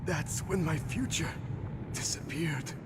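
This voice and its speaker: An actor playing a menacing, embittered psychic villain in a video game, theatrical and breathy with deliberate pauses. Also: male voice, on the high side, long reverb tail, heavy background noise. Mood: bleak, wounded. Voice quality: breathy, whispery. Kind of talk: dramatic video game voice acting.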